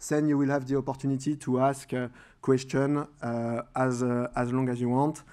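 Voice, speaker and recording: A man's voice: mid-range voice, flat delivery, normal speed, warm tone, clean tone. A man in his thirties with a French accent, opening a corporate presentation from a podium, formal with many hesitations. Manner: hesitant, frequent uh fillers. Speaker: managing director introducing a corporate presentation. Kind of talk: formal event welcome speech. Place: large conference room with a seated audience. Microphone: podium microphone.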